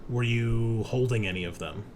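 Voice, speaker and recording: A man acts as game master for a tabletop roleplaying game. He switches between conversational rulings and improvised narration, in a mid-pitched voice. There is noticeable noise in the background. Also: normal speed.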